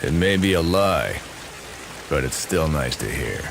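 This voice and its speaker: Cynical male mercenary, bottomless bass, gravelly, sardonic, video game, rain hiss. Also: animated, measured pace, full character voice. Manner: dry, sardonic. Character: gruff, cynical male mercenary, dryly mocking.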